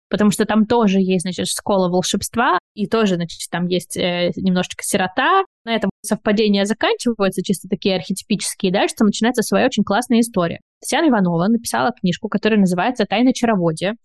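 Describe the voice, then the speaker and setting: A woman's voice: mid-range voice, a little mellow, no room sound, studio quality. A woman guest on a podcast, talking in a thoughtful, enthusiastic way, with fillers and light laughs. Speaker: podcast guest, bookshop owner. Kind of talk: casual interview chat.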